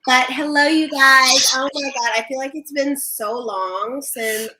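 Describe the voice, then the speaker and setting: A woman's voice: high-pitched, unhurried, crowd noise. A young woman chatting casually to livestream viewers as co-host of a recap show. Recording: echoey room.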